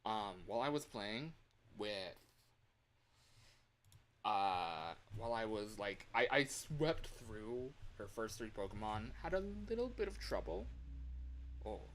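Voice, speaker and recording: A man's voice: fairly low pitch, big pitch swings, slow, long pauses, a little hiss or hum. A man with a worn, strained voice giving casual, rambling livestream commentary while he plays a game, easily sidetracked from what he was saying. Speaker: livestreamer playing games. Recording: loud game music behind voice.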